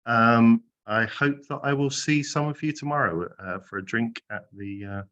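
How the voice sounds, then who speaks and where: A man's voice: mid-range voice, moderate pace, fairly bright tone, dull audio. A man hosting a meeting and giving loose, casual closing thanks on a video call. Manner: loose, lots of "you know". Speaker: meeting host.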